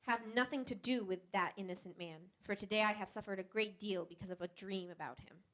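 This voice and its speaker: A woman reading the part of a governor's wife, anxious and pleading in a soft, urgent voice. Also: mid-range voice, brisk, natural voice.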